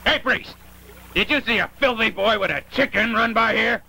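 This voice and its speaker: High, gravelly, angry old beggar. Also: male voice, moderate pace, heavily acted, rough recording. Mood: grumbling, angry. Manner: blustering, shouting threats. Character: angry, blustering old male beggar, rough and spiteful. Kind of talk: dubbed animated film acting. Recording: music behind the voice.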